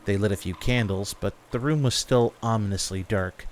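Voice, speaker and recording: A man's voice: fairly low pitch, normal speed. A man reading scripted story narration as the narrator of a fantasy audio drama.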